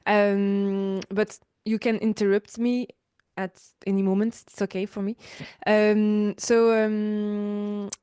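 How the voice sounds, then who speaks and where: A woman's voice: medium pitch, little pitch movement, slow, warm tone, clean tone, dry recording, clean studio sound. A woman from France with a strong French accent, a panelist introducing herself on a video call, hesitant and searching for words in English. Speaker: sex educator, panelist.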